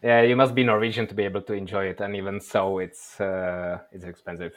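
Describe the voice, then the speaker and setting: A man from the Czech Republic with a Czech accent and Czech as his native language, answering interview questions in a measured, thoughtful way. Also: deep voice, flat delivery. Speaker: interview guest, workshop presenter.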